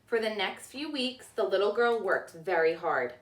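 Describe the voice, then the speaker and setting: A woman narrating a children's storybook as a teacher reading aloud, with deliberate, expressive delivery. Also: mid-range voice, distant mic. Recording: echoey room, away from the mic.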